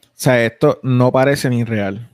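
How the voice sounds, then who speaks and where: A man's voice: medium pitch, unhurried, a little mellow, no echo, studio quality. A young man hosting a casual podcast, rambling with lots of fillers and restarts. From United States. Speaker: podcast host.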